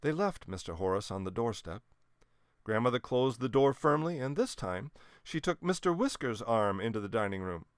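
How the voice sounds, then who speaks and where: A man narrates a children's story from a script. His mid-pitched voice is highly animated, with expressive, dramatic emphasis. The sound is dry. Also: moderate pace, clear, resonant tone, no room sound. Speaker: storybook reader.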